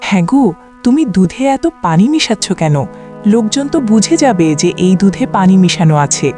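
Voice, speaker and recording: Woman playing a mellow-voiced, conscientious village wife. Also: mid-range voice, lively intonation, fairly quick, soft background music, close to an everyday voice. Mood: worried. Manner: questioning, gently objecting. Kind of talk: cartoon moral-tale acting.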